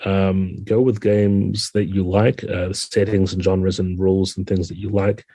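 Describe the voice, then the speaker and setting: Low, mellow New Zealand man. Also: flat delivery, unhurried, no room sound. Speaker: podcast guest, game designer. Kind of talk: relaxed interview conversation.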